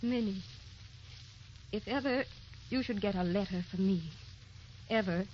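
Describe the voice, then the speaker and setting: An actress playing a tender, anxious young wife in an old radio drama, with a theatrical, wistful, pleading delivery. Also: female voice, mid-range voice, measured pace, a little mellow, close to an everyday voice. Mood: troubled, confessional. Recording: dull, hissy old radio recording.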